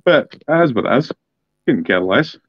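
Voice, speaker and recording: A man's voice: mid-range voice, big pitch swings, measured pace, husky, no room sound. Blunt Scottish man, muffled dry sound. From Scotland. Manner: blunt, emphatic, repeats himself for effect. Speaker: political podcast co-host. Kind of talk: casual political discussion. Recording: dull, dry lo-fi audio.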